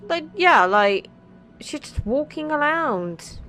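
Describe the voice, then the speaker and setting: High-voiced, playful woman gamer. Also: very animated, takes it slowly, bright, forceful tone, soft background music. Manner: playful, chatty, uses pet names. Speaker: gaming video host. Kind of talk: casual playthrough commentary.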